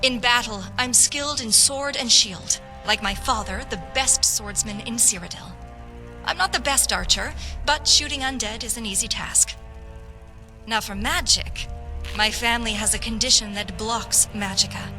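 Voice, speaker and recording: Game role: woman as earnest patriotic swordswoman of noble birth. High voice, formal, resolute idealist, music behind. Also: moderate pace, bright, forceful tone. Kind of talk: video game roleplay dialogue.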